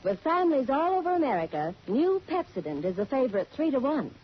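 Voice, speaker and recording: A woman's voice: high-pitched, big pitch swings, a little fast. A woman selling emphatically in the style of a 1940s radio commercial. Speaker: commercial announcer. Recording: muffled, faintly noisy old radio broadcast.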